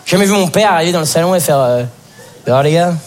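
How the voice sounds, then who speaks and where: A nineteen-year-old man doing a stand-up routine on stage, animated and talking to the audience while acting out voices. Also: a little high, fast. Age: teens. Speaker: stand-up comedian. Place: stage before a live audience. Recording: live stage, crowd and noise.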